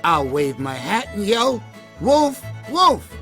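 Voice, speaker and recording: A man's voice: sits high, very animated, takes it slowly, sharp tone, soft background music, voice pushed up, heavily acted. A man voicing a bored, mischievous young shepherd boy in a children's storybook, whiny and exaggerated. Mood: excited, gleeful.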